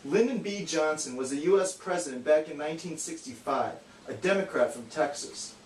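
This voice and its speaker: Higher-voiced man explaining, echoey room. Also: lively intonation, moderate pace, darkish tone. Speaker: explainer, straight man in puppet show. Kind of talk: scripted educational explanation. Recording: echoey, distant, faint noise.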